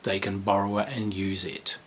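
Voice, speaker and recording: A man's voice: low-pitched, completely flat delivery, moderate pace, fairly bright tone, a little hiss or hum. A man, a game designer giving a casual spoken review of a tabletop game, rambling with frequent ums and restarts.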